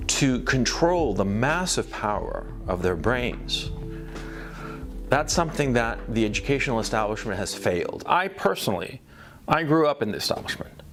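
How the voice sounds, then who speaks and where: A man's voice: medium pitch, very animated, normal speed, over music. A middle-aged man from Chile giving a blunt, profane opinion monologue, often repeating words as he starts a thought. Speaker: commentator.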